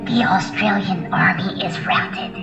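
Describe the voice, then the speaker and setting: An actress playing a military officer giving clipped, formal war reports in an audio drama. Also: female voice, mid-range voice, measured pace, natural voice. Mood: grave. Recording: distant dull echoey audio, loud music.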